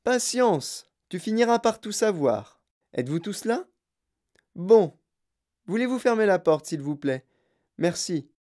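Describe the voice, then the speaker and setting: A storyteller voicing a sprightly, mischievous old chocolatier with a clear, fluty voice, excitable and effusively polite, in an audiobook. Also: mid-range voice, measured pace, long pauses, voice pushed up. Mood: brisk, cheerful. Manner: excitable, repeats himself in courteous bursts.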